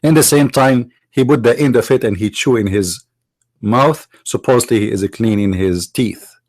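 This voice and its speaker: A man whose first language is Arabic, from the Middle East, arguing in a sarcastic, mocking tone and slowing down to stress quoted phrases. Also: mid-range voice, a little mellow, no echo. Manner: sarcastic, mocking rhetorical questions. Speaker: apologist speaker. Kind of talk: argumentative lecture.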